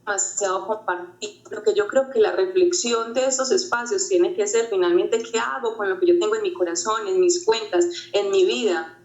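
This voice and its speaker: A woman speaks earnestly and reflectively, circling back over her own words as she shares a personal motivational story. Her voice sits at a middling pitch and rings around a roomy space. The recording sounds dull and slightly lo-fi. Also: moderate pace, hardly any pauses. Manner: earnest, reflective, repeats phrases. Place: home during quarantine.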